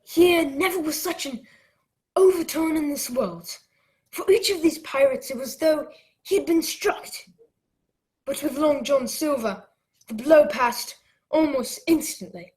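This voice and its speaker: A woman's voice: high-pitched, a little slow, darkish tone, rough recording. A woman playing the earnest narrator of an adventure radio play, telling it as a dramatic memory. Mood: tense. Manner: dramatic storytelling.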